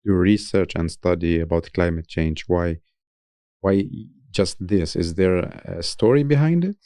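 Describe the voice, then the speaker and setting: Low, mellow male podcast host. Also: a little slow, no room sound, studio quality. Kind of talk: casual interview.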